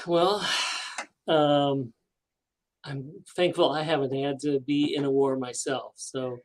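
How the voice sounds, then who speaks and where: A man's voice: medium pitch, flat delivery, slow pace. A man hosting a radio talk show, asking his guest questions in an easygoing way. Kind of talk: interviewing a guest.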